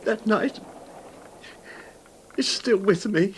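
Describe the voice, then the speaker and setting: An actor playing an older wealthy English husband, haltingly recounting his betrayal in an anguished, formal manner in a radio drama. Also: high-pitched. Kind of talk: 1970s radio drama acting, narrating a confession. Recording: noisy 1970s radio drama recording.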